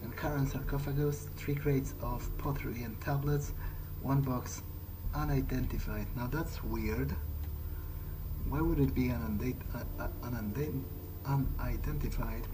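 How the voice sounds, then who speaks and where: A man's voice: medium pitch, steady tone, measured pace, noisy background, lightly acted. A man roleplaying a polite, hesitant vampire, thinking aloud in character as he plays a video game. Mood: thoughtful. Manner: hesitant, musing. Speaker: gameplay host speaking as his player character. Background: loud game music.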